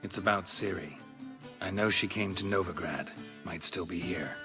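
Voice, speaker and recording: An actor voicing a stoic, deadpan monster hunter in a video game, laconic and dryly wry. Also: male voice, low-pitched, moderate pace, creaky, over music. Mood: grave.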